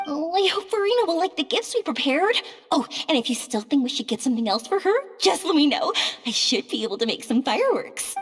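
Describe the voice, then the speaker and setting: Eager, helpful young woman for anime game: bubbly, high voice, long reverb behind her. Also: very animated, fairly quick, soft background music. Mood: friendly, helpful.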